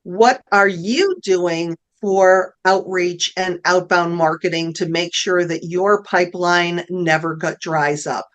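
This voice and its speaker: A woman's voice: lowish voice, steady tone, takes it slowly, hardly any pauses. A woman guest on a podcast, with a strong, carrying voice and a confident, direct way of talking. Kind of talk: podcast interview.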